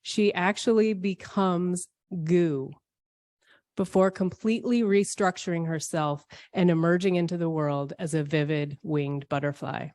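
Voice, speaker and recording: Female church speaker, lowish voice, unhurried scripted reflection with poetic, deliberate phrasing. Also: fairly even intonation, darkish tone, no echo.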